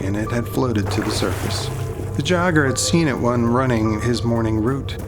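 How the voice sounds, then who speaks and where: A somber male detective , acted by a man, recounts a grim case in a crime audio drama. His voice sits a little low and his delivery is measured and serious. Music plays clearly behind him. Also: natural voice. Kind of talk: first-person crime drama narration. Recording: music playing under the voice.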